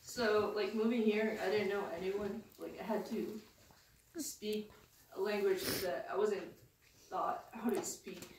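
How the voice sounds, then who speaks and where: Slow, halting teenage girl from the Philippines. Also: mid-range voice, fairly even intonation, distant mic. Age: teens. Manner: halting, hesitant, with many restarts. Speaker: student speaker. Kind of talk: personal testimony speech. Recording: echoey room, mic from afar, faint noise.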